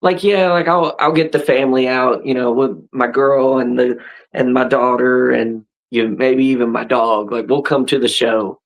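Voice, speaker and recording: A man's voice: medium pitch, fairly even intonation, normal speed, creaky, rough recording. A man in his thirties, a wrestler hosting a podcast, talking casually with frequent restarts and "right?" tags. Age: 30s. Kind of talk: casual chat.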